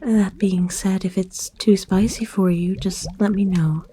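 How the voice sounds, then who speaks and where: A woman playing a kind, flirtatious forest witch in a soft, breathy, gently coaxing ASMR roleplay. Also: mid-range voice, fairly even intonation, unhurried, slight background noise, lightly acted. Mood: warm, hospitable.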